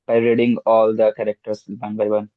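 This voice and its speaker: A man's voice: fairly low pitch, monotone, a little fast, no room sound. A man giving a hesitant answer in a casual group discussion. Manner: hesitant, loose phrasing. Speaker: forum participant. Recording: dry, dull call audio.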